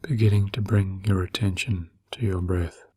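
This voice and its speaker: A man narrating a guided meditation in a gentle, soothing, slow manner with long pauses and a breathy, husky voice. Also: deep voice, fairly even intonation.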